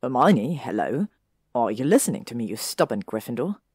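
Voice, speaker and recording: A woman reading a fan story aloud, voicing an arrogant, possessive young male wizard in a lowered, clipped drawl. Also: big pitch swings, moderate pace, darkish tone, husky, dry recording, clean studio sound, heavily acted. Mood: impatient.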